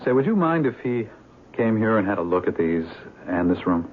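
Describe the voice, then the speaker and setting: An actor playing a shrewd, plain-spoken police detective in a 1970s radio drama. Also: male voice, on the low side, big pitch swings, normal speed. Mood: folksy, confiding. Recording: muffled, hissy 1970s radio broadcast.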